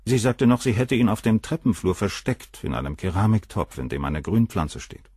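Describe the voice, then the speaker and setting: An actor playing a cold, condescending, articulate professor with a husky voice in a radio crime drama. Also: male voice, on the low side, moderate pace, darkish tone, no echo, studio quality. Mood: coolly matter-of-fact. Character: cold, haughty, gaunt male professor, a famous doctor.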